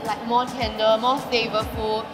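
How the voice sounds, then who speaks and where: A woman's voice: a little high, little pitch movement, moderate pace. A young woman with a Singaporean accent, chatting enthusiastically as she hosts a casual food review. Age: young adult. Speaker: food show host. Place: indoors at restaurant tables. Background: music.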